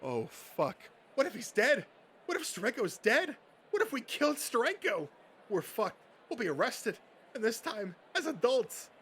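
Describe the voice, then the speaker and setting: A man's voice: extremely high pitch, big pitch swings, normal speed, fairly bright tone, a little hiss or hum, exaggerated voice. A man voicing a foul-mouthed, bitter, whiny comic book nerd, agitated and melodramatic, in an amateur dub. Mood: panicked.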